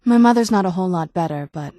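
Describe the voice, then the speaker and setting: A woman's voice: fairly low pitch, lively intonation, fast, dark tone, no room sound, close to an everyday voice. A woman playing a guarded, wry vampire noblewoman in a fantasy video game, talking in a dry, understated, conversational way. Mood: guarded, wistful. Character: guarded, wry ancient vampire noblewoman who looks young. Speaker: adventuring companion character in a fantasy video game. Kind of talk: video game dialogue acting.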